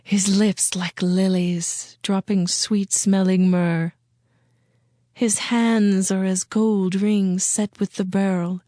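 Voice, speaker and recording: A woman's voice: fairly low pitch, darkish tone, no room sound, studio quality. A woman playing a lovesick young bride in a dramatized scripture reading, speaking in a yearning, poetic way with music under her.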